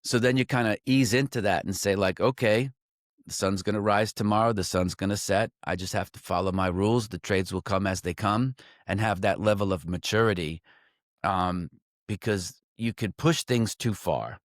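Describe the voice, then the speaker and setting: Lowish-voiced man musing casually. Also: normal speed, dry recording, studio quality. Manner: loose and conversational, heavy on "like" and "um"; restarts and self-corrections mid-sentence. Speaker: trading coach. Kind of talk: reflective solo talk to camera.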